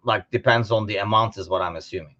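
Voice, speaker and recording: A man co-hosting a casual sports podcast, talking informally and thinking out loud as he discusses. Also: lowish voice, fast talker, highs cut off. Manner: informal, conversational, thinking aloud. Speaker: podcast co-host.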